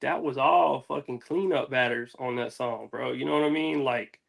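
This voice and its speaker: A man's voice: mid-range voice, normal speed, creaky. A man from the United States, a music artist being interviewed, talking casually with lots of slang and swearing. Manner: slangy, profane, peppered with "man" and "dude".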